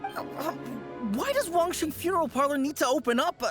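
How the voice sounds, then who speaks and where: A woman's voice: high voice, big pitch swings, fairly quick, music in the background. A woman voicing a timid, reluctant employee in an anime game, hesitant and stammering. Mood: flustered. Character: timid, reluctant funeral parlor employee, easily flustered.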